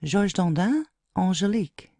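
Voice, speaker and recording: A woman's voice: mid-range voice, animated, a little slow, mellow, dry recording, studio quality. A woman narrator reading out stage directions as scripted narration for an audio play.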